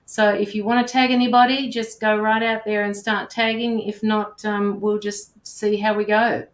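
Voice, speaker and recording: A woman's voice: medium pitch, level tone, moderate pace, roomy sound. A woman hosting a livestream, chatting informally with viewers and her guest. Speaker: livestream host. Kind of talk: casual livestream chat.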